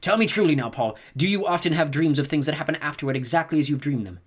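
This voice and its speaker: A man's voice: a little high, lively intonation, quick pace, voice pushed up. A man voicing a stern, commanding old woman who scolds sharply in an audiobook reading. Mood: probing. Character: stern imperious old woman, a reverend mother.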